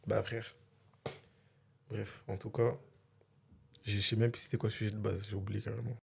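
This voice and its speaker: A man's voice: fairly low pitch, level tone, normal speed, creaky, slight background noise. A young man from Philadelphia raised in France, joking and boasting with lots of slang in a casual chat among friends. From born in Philadelphia, raised in France. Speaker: podcast host.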